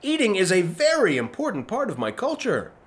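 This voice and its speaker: A man's voice: high voice, huge pitch swings, a little fast. A man playing a pompous, self-centred, sarcastic starship captain in a comedic sci-fi radio play, speaking in a haughty, theatrical way. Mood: smug, impatient.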